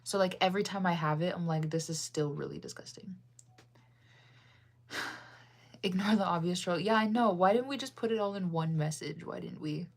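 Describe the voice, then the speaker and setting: A woman's voice: fairly low pitch, normal speed, pauses often. A young woman from the United States chatting casually on a livestream, rambling with lots of fillers and self-corrections as she answers chat.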